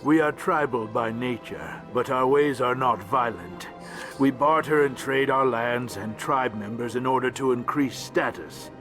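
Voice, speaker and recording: A man's voice: medium pitch, natural voice. A man voicing a small, grumpy, aggrieved alien diplomat in a video game, curt and world-weary. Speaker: ambassador. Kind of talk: video game character dialogue.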